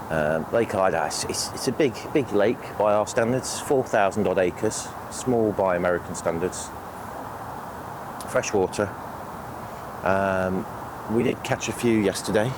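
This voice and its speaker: A British man with a croaky, sniffly voice from a cold, chatting casually and a little hesitantly as a fishing vlogger outdoors. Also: sits low, a little slow, pauses often, noisy background. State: has a cold. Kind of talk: casual outdoor vlog talk to camera. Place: outdoors on a lake bank.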